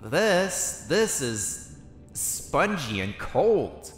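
A man's voice: a little high, big pitch swings, drawn-out words, faint music behind. A man thinking aloud in character while he plays a survival game, musing and hesitant as he goes. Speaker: roleplay gaming channel host.

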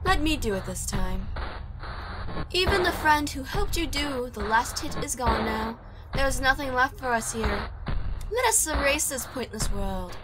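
A woman voicing a cold, commanding demon child in a measured, ominous comic dub. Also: high voice, animated, background noise. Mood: cold menace. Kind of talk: comic dub character acting.

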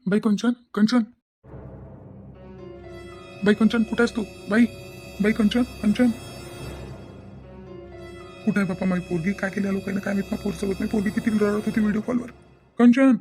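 A woman's voice: medium pitch, fairly even intonation, normal speed, pauses often, faint music behind, natural voice. A woman voicing an agitated, protective middle-aged father in a cartoon family drama, firing demanding questions. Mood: frantic, worried. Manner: loud, repetitive, demanding questions. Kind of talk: Marathi cartoon family comedy-drama acting.

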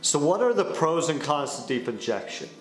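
Higher-voiced young man in an echoey room. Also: sing-song, normal speed, faint music behind. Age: young adult. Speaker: sales director presenting a company explainer video. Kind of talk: scripted explainer to camera. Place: small indoor studio with dark wall panels. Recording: roomy echo on the voice.

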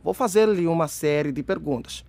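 A man's voice: on the high side, normal speed, gravelly, darkish tone, no echo. An actor playing a male scam caller using a persuasive marketing voice. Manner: persuasive sales patter.

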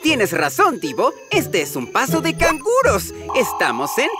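A woman's voice: a little high, measured pace. A woman voicing a curious, upbeat boyish adventurer in a children's educational cartoon dub. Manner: excited, exclamatory, inquisitive. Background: music.

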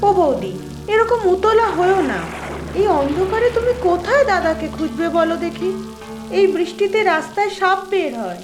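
A woman's voice: very high voice, expressive, moderate pace, music in the background. A woman voicing a practical, reassuring young sister-in-law in a cartoon, homely and chiding, with a roomy echo. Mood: alarmed, chiding. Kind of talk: Bengali cartoon voice acting.

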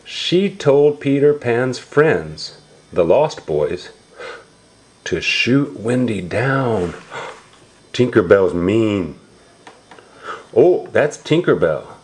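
A man reading a picture book aloud to young children, explaining it in simple, repeated, drawn-out words. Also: mid-range voice, animated, darkish tone. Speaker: storybook reader for young relatives.

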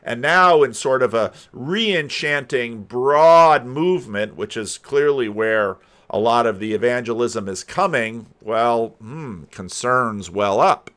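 An older man giving casual, rambling commentary as a host, halting and thinking aloud. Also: medium pitch, expressive, fairly bright tone, clear, resonant tone. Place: home room lined with books. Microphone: desk microphone, close, with headphones.

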